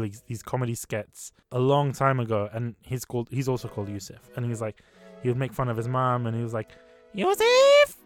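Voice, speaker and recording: A young man from Britain hosting a casual podcast, rambling and joking with laughs as he talks. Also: medium pitch. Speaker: podcast host.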